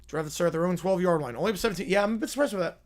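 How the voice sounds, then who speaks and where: A man with a worn, hoarse voice calling college football play-by-play live on a stream, talking to chat between plays. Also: high voice, very fast. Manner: excited, rising commentary on big plays, quieter asides to chat.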